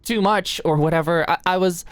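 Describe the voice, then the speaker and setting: Woman playing a nervous young female medical student, lowish voice, dry intimate sound, ASMR roleplay, hesitant, stammering, endearingly flustered. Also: a little fast, no room sound, lightly acted. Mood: nervous, apologetic. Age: young adult.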